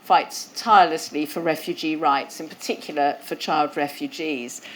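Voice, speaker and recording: A woman's voice: low voice, steady tone, moderate pace, a bit bright, poor audio. A woman hosting a museum event and introducing speakers, talking conversationally with some fillers into a lapel mic. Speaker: event host. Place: event hall.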